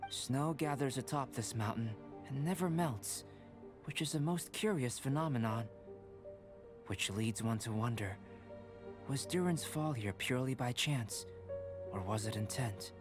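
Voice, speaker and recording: An actor playing a calm, polite young alchemist in an anime-style video game, explaining things in a measured, formal, analytical way. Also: male voice, mid-range voice, lightly acted. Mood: thoughtful. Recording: studio voice over game music. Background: background music.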